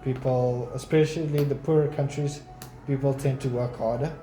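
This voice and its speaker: A man's voice: mid-range voice, fairly even intonation, moderate pace, dark tone. Hesitant young man from South Africa. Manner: hesitant, restarts with ums. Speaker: customer. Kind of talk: casual chat. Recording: echoey room, faint music.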